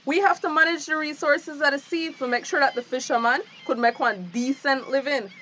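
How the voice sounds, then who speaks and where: An actress playing an earnest, respectful fisheries worker in a drama, explaining politely. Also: female voice, sits high, brisk, fairly bright tone, a little hiss or hum. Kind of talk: naturalistic radio drama acting.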